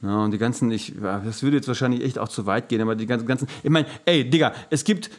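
A man's voice: mid-range voice, fast talker. A man co-hosting a podcast in casual chat, earnest and slangy, often searching for his words. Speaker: podcast co-host.

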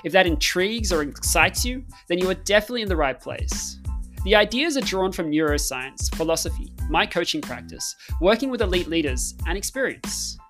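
A man's voice: sits high, animated, brisk, a bit bright. A man hosts a coaching podcast, reading his intro in a polished, upbeat manner before turning to his guest. Faint music sits behind his voice. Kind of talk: scripted intro then interview host.

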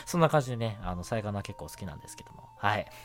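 A man's voice: fairly low pitch, expressive, a little fast, faint music behind. A man hosting a casual solo radio show, talking in a chatty, rambling way and often interrupting himself. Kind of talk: casual solo radio chat about anime.

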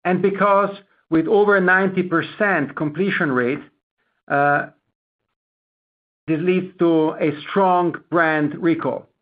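Higher-voiced executive man over a phone line. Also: fairly even intonation, slow. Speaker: company executive. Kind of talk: prepared earnings call answer. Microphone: conference call line. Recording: narrow tinny phone-line conference call.

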